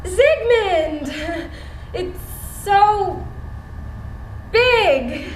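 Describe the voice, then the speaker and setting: High-pitched, theatrical urbane woman. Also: lively intonation, drawn-out words, exaggerated voice. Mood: skeptical. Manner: theatrical, intellectual, sprinkles in French. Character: urbane, indecisive, pretentious woman in her thirties, Isabella. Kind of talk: live stage play acting. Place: theatre stage. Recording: echoey theatre stage recording, room noise.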